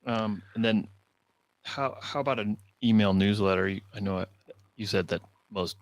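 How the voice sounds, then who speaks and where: A man's voice: lowish voice, normal speed, no room sound, rough recording. A man hosting a talk in a casual, conversational interviewing style. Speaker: interviewer, host.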